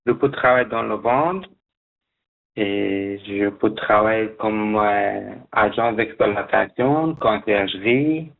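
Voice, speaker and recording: Man, slow and halting, gravelly voice, phone-line sound. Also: lowish voice. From Afghanistan. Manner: halting, with many restarts and fillers. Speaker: guest job seeker. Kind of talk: radio interview.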